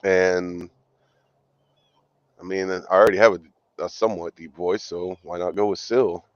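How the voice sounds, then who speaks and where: A man with a deep voice chatting on a radio show, relaxed and teasing. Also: measured pace, dry recording, lo-fi sound. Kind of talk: casual chat.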